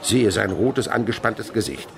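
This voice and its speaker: An actor playing an old French naturalist in a radio play, telling his memories vividly and wistfully with a gravelly voice. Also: fairly low pitch, expressive, normal speed, crowd noise. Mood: absorbed storytelling. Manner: vivid dramatic storytelling. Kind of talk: German radio play acting.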